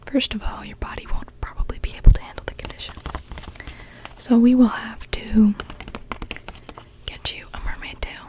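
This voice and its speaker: A young woman playing a kindly mermaid witch in a whispered ASMR roleplay, gently attending to a customer as she brews potions. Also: a little high, level tone, moderate pace, long pauses, lightly acted. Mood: helpful, reassuring. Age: young adult.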